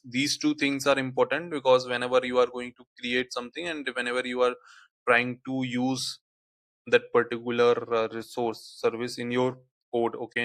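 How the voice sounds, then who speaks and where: A man whose first language is Hindi, walking through a software tutorial on screen, peppering his speech with "okay, like" fillers. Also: medium pitch, no room sound. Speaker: tutorial instructor.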